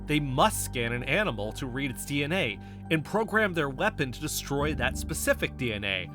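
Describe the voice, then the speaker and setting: A man acting as an insistent, stubborn conspiracy theorist in an audio drama, speaking with emphatic conviction. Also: a little high. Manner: emphatic, insistent, building speeches. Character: impassioned male conspiracy theorist, defensive and stubborn. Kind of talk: scripted audio fiction dialogue.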